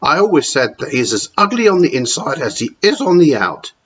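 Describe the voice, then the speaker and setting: A man's voice: a little high, very animated, fairly quick, fairly bright tone, slightly rough, pitched above the performer's own voice, full character voice. A man voicing a cruel, scornful female hospital matron with a sneering manner. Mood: gloating. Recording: dull lo-fi recording.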